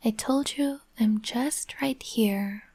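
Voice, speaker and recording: Woman, slow breathy whisper, gentle comforting partner roleplay. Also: on the high side. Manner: soft soothing whisper.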